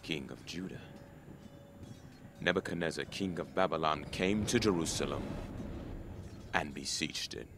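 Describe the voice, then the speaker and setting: A man's voice: very deep voice, measured pace, long pauses, heavy background noise. A man narrating a dramatic scripted Bible reading in a formal, solemn storytelling manner. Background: background music.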